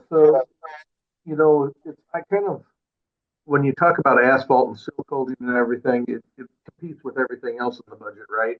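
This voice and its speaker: A man with an Upper Midwest American accent talks through school facilities budgets in a modest, rambling, down-to-earth way. His voice comes through thin and tinny, as if over a phone line, and he sits at a middle pitch. He is speaking alone in his office. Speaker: co-host, school facilities manager. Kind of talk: casual webinar talk.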